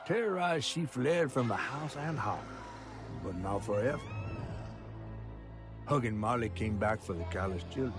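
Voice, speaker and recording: An actor playing a measured, ominous storybook narrator of a dark folk legend in a video game. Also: male voice, lowish voice. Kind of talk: scripted dramatic storytelling. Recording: loud music under narration. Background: music.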